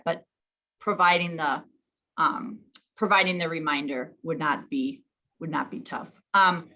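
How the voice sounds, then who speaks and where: Woman running an online meeting, bright voice, chatty and working things out as she talks. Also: lowish voice. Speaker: league official leading an online meeting. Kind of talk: informal online meeting talk.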